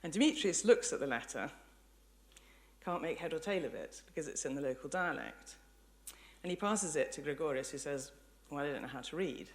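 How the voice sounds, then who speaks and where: A woman giving an articulate, measured public lecture with illustrative storytelling. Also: deep voice, animated.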